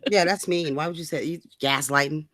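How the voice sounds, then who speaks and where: A woman's voice: low-pitched, fairly quick, fairly bright tone, dry recording, studio quality. A woman ranting casually about politics in a blunt, emphatic, sweary way, as a co-host.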